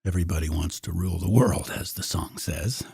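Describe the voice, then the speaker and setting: A man with a husky voice talking in a wry, musing way as a podcast host. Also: low-pitched, a little fast, a little mellow, no room sound, studio quality. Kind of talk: conversational podcast discussion.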